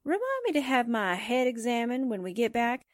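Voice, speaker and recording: A woman's voice: a little high, fairly quick, no room sound, studio quality, lightly acted. A woman playing a sardonic, reluctant sidekick to a newspaperwoman, with wry 1940s radio drama delivery. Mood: wry, resigned.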